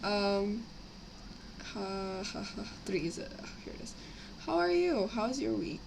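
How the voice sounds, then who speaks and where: Slightly raspy young woman, noisy background. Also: medium pitch, big pitch swings, a little slow. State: sick with a cough, itchy throat. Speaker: live streamer building model kits. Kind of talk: casual live stream chat. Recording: clear background noise, home livestream.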